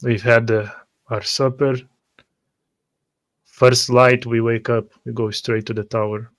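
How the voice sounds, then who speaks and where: A cautious, loyal male traveler, played by a man in a tabletop fantasy game. He speaks evenly and formally, with measured pauses, in a dry close recording. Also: mid-range voice, flat delivery, dry recording, lightly acted. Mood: calm, cautious.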